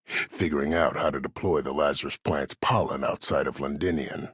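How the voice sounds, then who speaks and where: An actor playing a calculating, courteous older military schemer in a video game, speaking in a measured, formal, silkily polite and menacing way. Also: male voice, a bass voice, steady tone, fairly bright tone, breathy edge, no echo, clean studio sound, heavily acted. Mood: measured, ominous. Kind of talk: dramatic video game voice acting.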